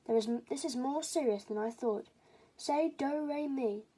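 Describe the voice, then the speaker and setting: A woman's voice: sits high, normal speed, dark tone, husky, pitched above the performer's own voice. A woman voicing a wise, grave old grandfather crow in a storybook, pondering and giving instructions.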